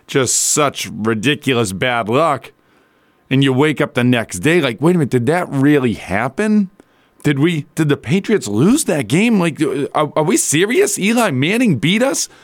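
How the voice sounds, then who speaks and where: A male sports radio host with a medium-pitched voice that sounds a little rough and worn. He talks in a loose, colloquial monologue full of conversational asides. The sound is close and dry, as in a radio studio. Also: no room sound. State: slightly rough, strained voice from yelling.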